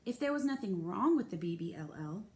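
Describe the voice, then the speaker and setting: A woman's voice: mid-range voice, very animated, a little fast, darkish tone. A woman reading a children's novel aloud expressively, narrating in the first person. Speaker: storybook reader.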